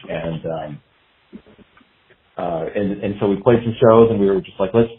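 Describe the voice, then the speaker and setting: A man answering casually in a podcast interview, telling his story in a loose, halting, reflective way. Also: sits low. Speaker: guest musician, guitarist. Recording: dull phone-like line, roomy echo.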